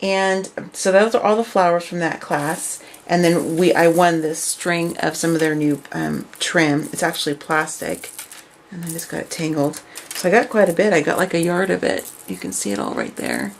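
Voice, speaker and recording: A woman casually showing her craft projects and chatting about them, her voice raspy from overuse and her talk full of fillers. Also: on the low side, lively intonation, normal speed, a little hiss or hum. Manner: chatty, filler-heavy, enthusiastic. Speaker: craft vlogger. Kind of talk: casual show-and-tell.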